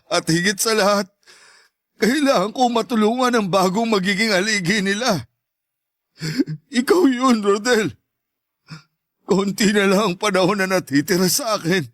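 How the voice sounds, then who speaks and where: A man playing a stern, proud, dying father in a radio drama, speaking gravely and insistently. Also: high-pitched, moderate pace, pauses often, fairly bright tone, no room sound. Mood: grave, pleading resolve. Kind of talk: Tagalog radio drama acting.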